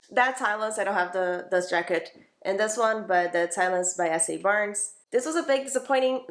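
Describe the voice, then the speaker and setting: A woman's voice: medium pitch, reverberant. A woman giving casual, chatty book reviews as a vlogger, informal, opinionated and emphatic in how she talks.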